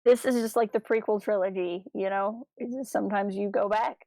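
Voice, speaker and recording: A woman's voice: medium pitch, fairly even intonation, fairly quick, dark tone, no room sound, poor audio. A woman co-hosting a casual livestream chat with a playful, bantering way of talking. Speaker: podcast co-host.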